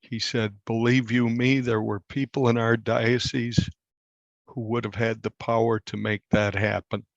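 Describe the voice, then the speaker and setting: An older man, a reporter, recalling a story in a conversational interview with measured, halting phrases. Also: medium pitch, little pitch movement, creaky, no echo, highs cut off.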